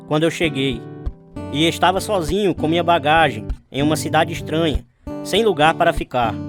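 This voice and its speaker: Earnest young man acted, fairly high, over music. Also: natural voice. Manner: earnest, sincere storytelling. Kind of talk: first-person dramatized testimony narration.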